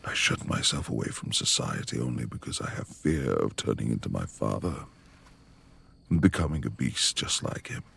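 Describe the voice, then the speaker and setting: A man playing a reclusive, brooding lord of a manor in an intimate ASMR romance roleplay, speaking formally and haltingly in a gravelly, husky, hushed voice. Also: very deep voice, sing-song, exaggerated voice. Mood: somber, confessing. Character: reclusive brooding male manor lord, tender beneath gruff exterior.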